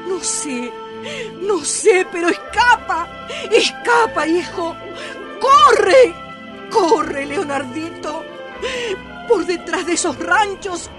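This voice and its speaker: An anguished peasant mother in a radio melodrama, her voice very high and tearful. She pleads in slow, drawn-out cries over loud music and crowd sound. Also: lively intonation, full character voice. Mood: desperate, urgent. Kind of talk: melodramatic radio drama acting.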